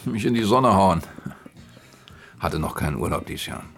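Low, creaky, laconic disc jockey. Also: male voice, lively intonation, moderate pace, fairly bright tone, heavily acted. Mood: laconic, curious. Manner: laconic, dry, clipped phrases. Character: mid-thirties male mobile disc jockey, wry, flirtatious economist. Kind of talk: naturalistic German radio play dialogue.

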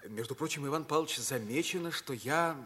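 An actor playing a modest, affectionate young pilot with a husky voice, bashful and respectful, in a 1950s radio drama. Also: male voice, fairly high pitch, fairly quick, pushed and bright. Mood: bashful, amused. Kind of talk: 1950s radio play acting.

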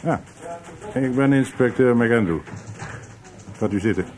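An actor playing a sceptical, unimpressed police inspector in a Dutch radio play, questioning brusquely with sardonic hums. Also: male voice, mid-range voice, measured pace, a little mellow, close to an everyday voice. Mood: dry, sceptical.

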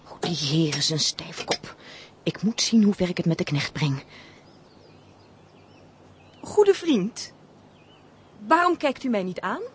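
A pert female castle servant for a radio play. Her delivery is highly animated and theatrical, chatty and easily affronted, with a sharp tongue. Faint noise and birdsong sit behind her. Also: takes it slowly, pauses often. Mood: indignant, taunting. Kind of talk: Dutch radio play acting.

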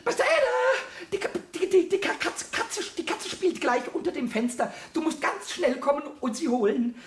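A man's voice: extremely high pitch, big pitch swings, normal speed, reverberant. A man playing a small, cheeky, childlike kobold in a German children's radio play, chattering eagerly and repeating words in a stammer. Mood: excited.

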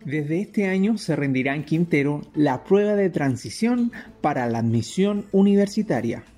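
Mellow male news reporter. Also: a little high, animated, moderate pace, soft background music. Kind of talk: scripted news narration.

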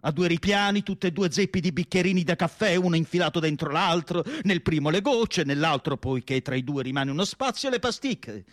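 An actor playing an anguished, indignant and nostalgic asylum psychiatrist in a declamatory radio theatre monologue. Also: male voice, a little high, expressive, fairly quick, hardly any pauses, no room sound, clean studio sound, natural voice. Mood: troubled, questioning. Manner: declamatory, rhetorical questions, emphatic lists. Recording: music playing behind the voice. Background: music.

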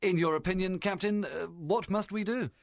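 A man voicing a calm, reasonable gentleman doctor in a storybook, asking things in a measured way. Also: high voice, vocal fry, no echo, clean studio sound, voice pushed up. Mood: inquiring. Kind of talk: storybook character dialogue.

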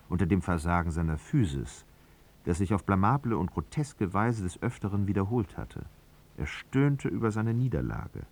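An actor as the grave literary narrator of a radio drama, measured and solemn. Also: male voice, sits low.

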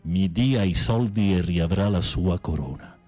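An actor in an Italian radio drama playing a sensitive prisoner with a literary, dramatic, expressive way of speaking. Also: male voice, deep voice, measured pace, faint music behind. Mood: melodramatic storytelling. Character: theatrical, sensitive male prisoner telling melodramatic tales.

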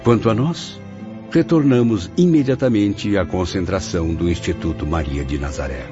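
A man's voice: deep voice, normal speed, lightly acted. An actor playing a sincere, emotional spirit of a Portuguese man in a radio drama, with formal, theatrical diction. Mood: moved, troubled. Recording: dull radio recording with music behind.

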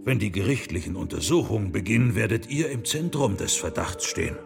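An actor playing a steadfast, probing monk and scholar in a German radio play. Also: male voice, lowish voice, animated, fairly quick, gravelly, music in the background. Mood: stern. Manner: probing, self-assured, unyielding.

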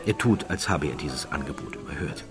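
A man narrating an audiobook as expressive, scripted storytelling. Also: rumbling low, fairly quick, over music.

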